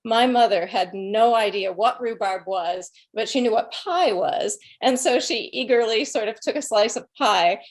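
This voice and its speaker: A woman's voice: a little high, measured pace, few pauses. A middle-aged woman, a guest speaker telling rambling, anecdotal stories and chuckling between phrases. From Illinois, USA. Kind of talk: conversational storytelling.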